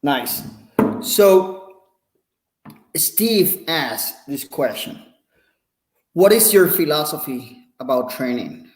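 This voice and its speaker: A man's voice: on the high side, lively intonation, extremely slow, long pauses, a little hiss or hum. A man with a Puerto Rican accent, a native Spanish speaker, hosting a casual interview. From Puerto Rico. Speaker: interview host. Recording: echoey, roomy sound.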